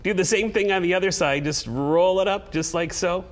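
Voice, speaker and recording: A man in his forties with a fairly high-pitched voice. He talks casually through a hands-on demonstration, giving simple steps and slipping in little jokes. It sounds like a TV studio segment. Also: moderate pace. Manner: chatty, joking, step-by-step instructing. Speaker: guest towel-folding demonstrator and seller.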